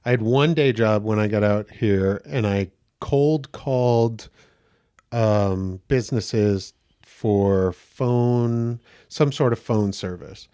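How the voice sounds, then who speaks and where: A man with a slightly high, gentle voice, telling stories in a casual podcast chat, laughing often and acting out what people said. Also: slow, smooth. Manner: animated storyteller, laughs often, acts out quotes. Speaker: guest actor.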